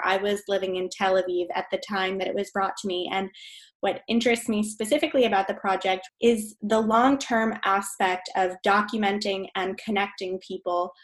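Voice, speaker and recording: A young woman explains in a measured, articulate way, with an "um" here and there. Her voice sits mid-pitched, heard over a remote call. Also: fairly bright tone. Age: young adult. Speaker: guest. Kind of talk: casual chat. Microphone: remote call recording.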